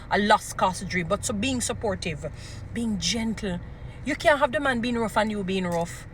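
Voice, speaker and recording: Jamaican woman, strong mid-pitched voice, emphatic preachy advice-giver, informal delivery, some outdoor urban noise behind. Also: sharp tone, poor audio. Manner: emphatic, preachy, repeating short phrases for emphasis. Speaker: relationship advice speaker. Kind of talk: informal motivational advice talk.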